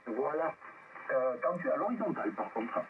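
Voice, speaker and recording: Male radio amateur, mid pitch, casual halting delivery, dull signal with faint hiss. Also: expressive. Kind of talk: casual ham radio check-in. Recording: muffled, distant, faintly noisy radio transmission.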